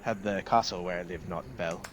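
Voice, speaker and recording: A man plays a loyal, earnest knight in a fantasy video game. His voice sits fairly low and his speech is formal and courteous. There is slight noise behind him. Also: fairly even intonation, fairly quick, close to an everyday voice. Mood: surprised, hopeful.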